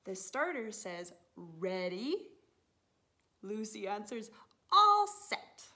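A woman in her thirties, a teacher reading a picture book aloud to young children in an expressive, animated manner with drawn-out words. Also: medium pitch, a little hiss or hum. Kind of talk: expressive children's story time read-aloud. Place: living room, indoors on a sofa.

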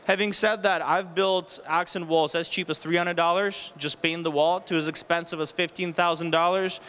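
A man in his thirties speaks to an audience as a contractor giving practical advice. His voice sits high for a man and sounds clean and resonant. He explains step by step, posing rhetorical questions and running through options. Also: steady tone, moderate pace, fairly bright tone, clean tone. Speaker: renovation contractor giving a presentation. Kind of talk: public presentation with practical tips. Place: stage at an indoor home show. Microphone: handheld microphone held near the chin.

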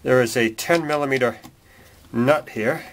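Slow-talking man, noisy workshop recording. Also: medium pitch, fairly bright tone. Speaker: auto repair tutorial presenter. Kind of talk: casual hands-on how-to commentary. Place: garage workshop, at engine bay and workbench. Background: noise, tool clicks.

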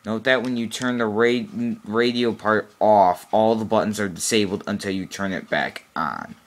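A man with a fairly low voice walks through a gadget's functions. He speaks informally and off the cuff, in a plain instructional manner. He is recorded in a small room on a handheld camera. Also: normal speed. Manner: matter-of-fact, instructional. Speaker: product demonstrator. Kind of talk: informal how-to tutorial, unscripted. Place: small cluttered room indoors.

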